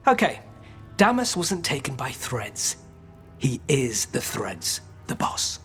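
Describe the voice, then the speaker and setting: An actor playing a wry, scheming criminal informant in a video game, bargaining in an informal, sly way. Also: male voice, mid-range voice, huge pitch swings, slow pace, a bit bright, faint music behind, heavily acted. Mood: sly, conspiratorial.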